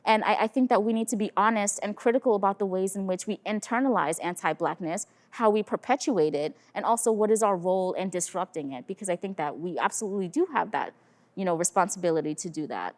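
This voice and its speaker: A woman of Haitian heritage speaking as a mentor in a casual panel discussion, emphatic and animated, with many fillers. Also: medium pitch, quick pace. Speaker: staff mentor.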